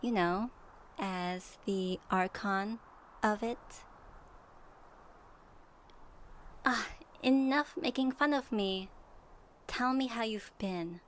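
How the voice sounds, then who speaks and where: A woman playing a gentle, curious young female traveler in a soft ASMR audio roleplay, pausing as if for an unheard partner. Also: mid-range voice, a little hiss or hum, close to an everyday voice. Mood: curious, teasing.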